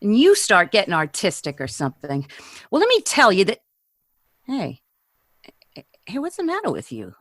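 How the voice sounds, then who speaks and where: A woman's voice: mid-range voice, wildly swooping pitch, moderate pace, long pauses, no echo, clean studio sound. A woman playing a spoiled, demanding Hollywood diva in a 1940s radio drama, slangy and bossy. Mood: irritated.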